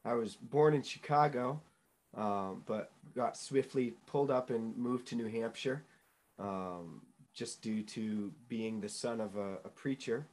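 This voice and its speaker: Young guy from new england, kinda low voice, earnest, lots of ums and restarts. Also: a little slow, darkish tone. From New England, United States. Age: young adult. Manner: earnest storyteller, many fillers and restarts. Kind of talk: casual interview chat.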